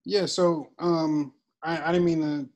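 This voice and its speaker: A man's voice: on the high side, steady tone, unhurried, a little mellow. A young man moderating a casual group discussion, thoughtful and rambling with many fillers.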